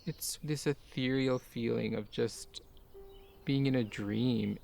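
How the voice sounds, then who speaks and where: A man who grew up in Kuwait, a garden owner and artist, talking in a soft-spoken, musing way with light laughs. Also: mid-range voice, slow pace, creaky, slight background noise. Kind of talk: reflective personal talk for a garden video.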